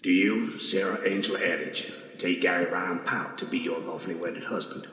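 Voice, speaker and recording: Solemn game officiant, ceremonial, deep register, long reverberation, formal measured delivery. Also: male voice, fairly even intonation, sharp tone. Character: solemn male officiant reciting wedding vows. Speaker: wedding officiant. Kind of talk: ceremonial recitation. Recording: very echoey hall, faint noise.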